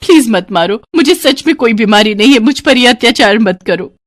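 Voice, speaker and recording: A woman's voice: a little high, expressive, a little fast, no echo, clean studio sound, voice pushed up. A woman voicing a meek, sorrowful young outcast stepdaughter in a storybook tale, pleading and plaintive.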